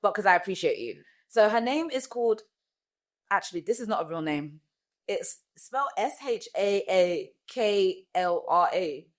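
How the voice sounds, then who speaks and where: Woman, podcast host, playful, teasing, casual comedy chat, mid pitch. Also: lively intonation. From Ghana. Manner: playful, teasing, drawn-out words. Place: studio podcast.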